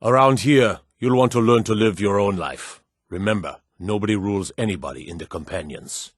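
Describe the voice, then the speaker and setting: An actor playing a gruff, proud old blacksmith in a fantasy video game, with a husky, gravelly voice and a measured, plain-spoken delivery. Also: male voice, lowish voice, no room sound, studio quality, natural voice. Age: older. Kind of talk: fantasy game character dialogue.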